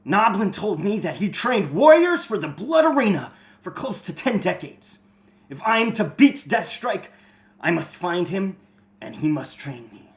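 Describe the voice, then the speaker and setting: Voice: mid-range voice, big pitch swings, measured pace. An amateur reader playing an earnest eighteen-year-old fantasy hero in a reader's theater reading.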